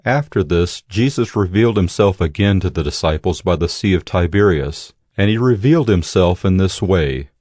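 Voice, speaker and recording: A man narrating scripture from a script in a measured, solemn way. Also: low-pitched, lively intonation, a little mellow, no room sound, studio quality. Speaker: Bible narrator.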